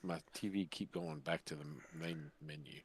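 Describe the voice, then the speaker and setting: A man's voice: rumbling low, normal speed, husky, no echo. A man in his fifties chatting casually as a podcast co-host, blunt and wisecracking. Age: 50s. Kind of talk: casual chat.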